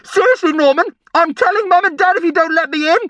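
A very high, shrill boy's voice, acted out by an audiobook narrator. He is a whiny younger brother who pesters and nags insistently, in an agitated storybook style. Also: quick pace, fairly bright tone, no echo, highs cut off. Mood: indignant, tattling.

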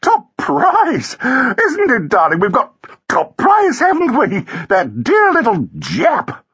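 A man narrating a story and voicing a sprightly, flamboyant society wife, shrill, affected and gushing. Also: huge pitch swings, slow pace, a bit bright, slightly rough, lo-fi sound, full character voice. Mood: excited, gushing.

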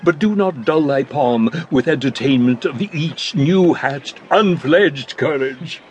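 Slow, pompous old father. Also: on the high side, a little hiss or hum. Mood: fond, sententious. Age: older. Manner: pompous, halting, sententious advice-giving. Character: fussy, rambling old father, a pompous royal counsellor. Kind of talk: Shakespearean audio drama acting.

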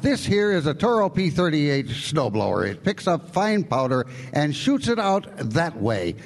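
A gruffly matter-of-fact old pensioner in a radio drama. He talks bluntly and colloquially with big swings in pitch. The recording is reverberant, with faint noise behind him. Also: big pitch swings, unhurried, heavily acted. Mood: baffled, dismissive. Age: older.

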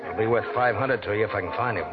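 An actor playing a bitter, scheming, proud con man down on his luck, husky and slangy, in a 1940s radio drama. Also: on the low side, fast talker, lightly acted. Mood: wheedling. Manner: clipped, slangy, contemptuous. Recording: dull old radio broadcast, faint music.

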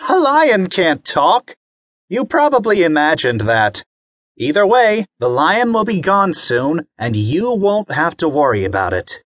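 A man's voice: on the high side, sing-song, slow, fairly bright tone, no echo, clean studio sound, voice pushed up, heavily acted. A man voicing a reassuring storybook mom. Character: calm, practical mother.

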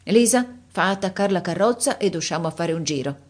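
A woman voicing a fussy, imperious elderly countess in a read-aloud story, speaking in a shrill, scolding manner. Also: lively intonation, a little fast. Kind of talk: audiobook character voice.

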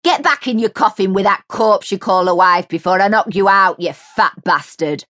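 A woman's voice: on the high side, measured pace, no room sound, studio quality, voice pushed up. A woman voicing a shrieking, furious woman. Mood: screaming. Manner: shouting, screeching, foul-mouthed. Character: furious woman, shrieking at her cheating partner.

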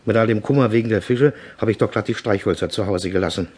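A man's voice: on the low side, quick pace, noisy background, natural voice. An actor playing a humble, fretful night watchman with a homely, polite way of talking, in a radio play. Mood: plaintive. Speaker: actor in a crime radio drama. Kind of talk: German crime radio play acting.

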